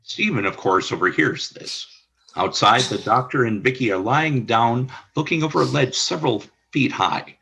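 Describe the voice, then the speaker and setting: A man's voice: mid-range voice, normal speed, creaky, bright, forceful tone, husky. A man reading the stage directions of a radio play in a plain, matter-of-fact way. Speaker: narrator.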